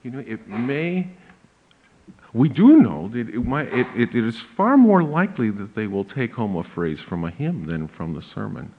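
A pastor with a big voice, giving a slow, deliberate lecture on church hymns with emphatic rises. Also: male voice, mid-range voice, sing-song, slight background noise. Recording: narrow phone-like audio.

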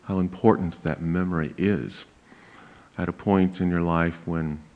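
Slow-speaking pastor with a big voice. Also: male voice, sits low, fairly even intonation. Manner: slow, deliberate, with emphatic rises. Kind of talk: lecture on church hymns. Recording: narrow phone-like audio.

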